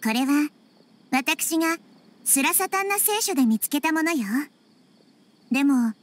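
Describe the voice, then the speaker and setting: A woman playing a gentle, wise little girl deity in an anime game, speaking in a composed, polite, reflective way. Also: sits high, animated, a little slow, background noise. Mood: pensive. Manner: composed, polite, reflective, feminine mature phrasing. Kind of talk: anime video game acting. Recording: music behind the voice.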